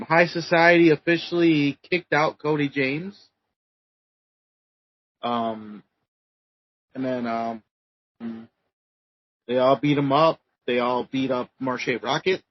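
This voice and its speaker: Podcast co-host, slow rambling recap, slightly high voice, lo-fi sound. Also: male voice. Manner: rambling recap with many ums. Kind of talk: casual chat.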